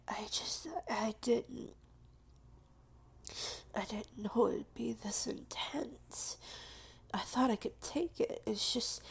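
A woman playing a clingy, submissive, tearful lover in an intimate audio roleplay, speaking in halting, repeated phrases with a breathy, creaky whisper. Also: on the high side, full character voice. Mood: shaken, tearful.

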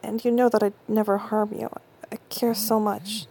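A young woman playing an affectionate, intense girlfriend in an intimate audio roleplay, speaking close to the mic in soft whispers that break into stammers. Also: medium pitch, flat delivery, moderate pace, slightly rough. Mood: tender, conflicted.

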